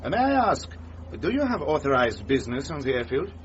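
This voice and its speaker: An actor playing a coldly courteous German official with a German accent, formal and smooth, in a radio drama. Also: male voice, medium pitch, big pitch swings, a little fast, highs cut off, a little hiss or hum. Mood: smoothly courteous.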